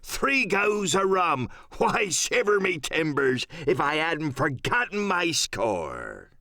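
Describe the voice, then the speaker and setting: A man's voice: on the high side, huge pitch swings, slow pace, sharp tone, no room sound, clean studio sound, voice pushed up, exaggerated voice. A man voicing a hearty, cunning old pirate sea cook in an audiobook, talking in folksy, salty seafaring slang. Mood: jovial. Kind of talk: audiobook radio-play character acting.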